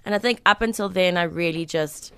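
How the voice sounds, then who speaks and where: A young South African woman chatting as a radio guest, her voice smooth and clear and a little low for a woman. She talks loosely with many fillers and giggles, close on a dry studio mic. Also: fairly quick, fairly bright tone, dry recording. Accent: South African English. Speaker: guest singer. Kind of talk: casual interview chat.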